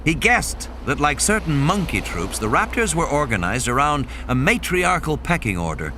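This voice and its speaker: A man reading a scripted dramatic audiobook in a measured, literary storytelling manner. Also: fairly high pitch, big pitch swings, background noise. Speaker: audiobook narrator. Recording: constant sound-effect ambience behind voice. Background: music, sound effects and background ambience.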